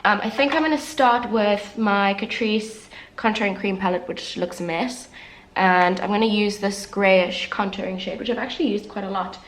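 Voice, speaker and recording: A woman's voice: medium pitch, moderate pace, few pauses, a little hiss or hum. A young woman vlogger chatting casually and candidly through a makeup tutorial, sounding a little congested as she gets over the flu. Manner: chatty, candid, self-deprecating asides. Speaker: beauty vlogger.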